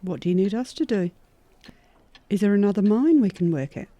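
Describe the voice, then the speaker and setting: A woman's voice: medium pitch, animated, a little fast, dark tone, natural voice. A woman playing a chipper, earnest, folksy frontier gal in a horror-comedy audio drama. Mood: upbeat, curious.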